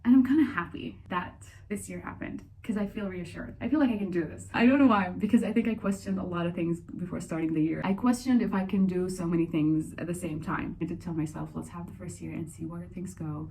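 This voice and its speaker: A young woman from Saudi Arabia talking casually and candidly in a personal Q&A vlog, chatty and quick to laugh. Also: lowish voice, gravelly, dark tone, slight background noise, poor audio. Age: young adult. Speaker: vlogger.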